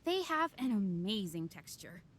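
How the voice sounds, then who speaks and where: A woman's voice: big pitch swings, unhurried, a little hiss or hum. A woman playing a polite, eager young restaurant waitress in an anime-style video game, courteous and keen to sell. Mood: eager, proud. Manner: courteous, recites dishes like a sales pitch.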